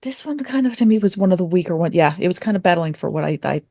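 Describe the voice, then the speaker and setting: A woman's voice: lowish voice, lively intonation, quick pace, dark tone, dry recording, studio quality. A woman on a podcast panel, chatting casually as she shares her opinions in a review.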